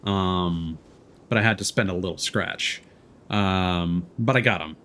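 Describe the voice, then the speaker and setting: A man doing casual, chatty live game commentary, quick to react out loud. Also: deep voice. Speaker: game livestreamer, host.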